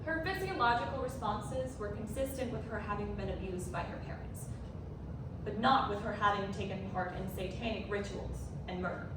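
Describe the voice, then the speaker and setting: A woman's voice: medium pitch, normal speed, lightly acted. A woman playing a skeptical, principled investigator, making pointed arguments in a stage readers theatre piece. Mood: probing. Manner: crisp argumentative delivery, pointed. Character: skeptical, principled female investigator exposing flawed abuse findings. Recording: echoey room, distant mic, noisy.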